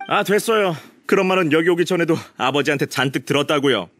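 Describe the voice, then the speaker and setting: A man's voice: on the high side, quick pace, fairly bright tone. A man voicing a pushy, rude young villager in a video game, with a whiny, theatrical delivery. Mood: scornful, annoyed. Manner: whiny, theatrical, flips from fawning to dismissive. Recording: music behind the voice.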